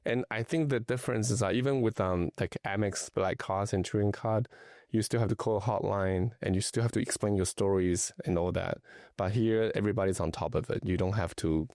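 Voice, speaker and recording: Man from Taiwan, middle-aged, deep gravelly voice, halting casual speech, dry studio sound. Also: darkish tone, dry recording. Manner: hesitant, many fillers and restarts. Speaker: luxury travel and lifestyle advisor, guest. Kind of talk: casual conversational interview.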